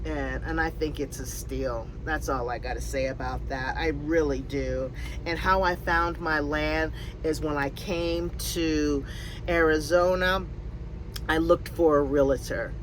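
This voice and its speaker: Fifty-something woman chatting slow, lots of ums and restarts, faint hiss behind her. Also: low voice. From Connecticut, USA. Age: 50s. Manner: rambling, many fillers and restarts. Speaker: vlogger answering viewer questions. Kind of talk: casual Q&A chat. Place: in or near her RV.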